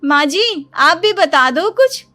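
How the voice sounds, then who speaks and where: A woman's voice: extremely high pitch, lively intonation, takes it slowly, sharp tone, soft background music, voice pushed up, heavily acted. A woman voicing a young married daughter-in-law in a cartoon story, dramatic, sarcastic, boastful and forever complaining. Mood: sarcastic, indignant. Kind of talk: Hindi moral-story cartoon voice acting.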